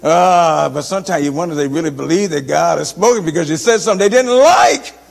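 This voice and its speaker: Man preaching, high voice, lively swings from loud to soft, handheld mic, long church reverb. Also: fast talker, gravelly. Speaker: pastor. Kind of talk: sermon. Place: large church sanctuary, indoors. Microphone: handheld microphone.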